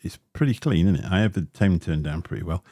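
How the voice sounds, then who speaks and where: A man's voice: animated, fast talker, dark tone, dry recording, studio quality. A man, hosting a casual livestream and rambling through chatty asides with lots of fillers. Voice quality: deep voice. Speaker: livestream host. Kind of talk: casual livestream chat while unboxing gear. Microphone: dynamic studio mic close up.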